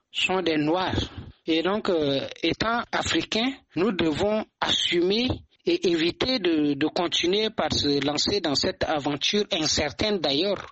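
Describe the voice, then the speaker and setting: A man from Chad giving his view on a radio program, measured and hesitant with frequent fillers. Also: fairly high pitch, a bit bright, no room sound, lo-fi sound. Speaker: radio commentator.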